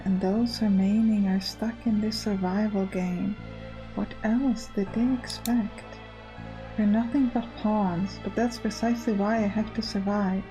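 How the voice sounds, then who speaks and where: A woman's voice: medium pitch, mellow, natural voice, rough recording. A woman voicing a cold, imperious survivor of a death game in a visual novel, solemn and scornful.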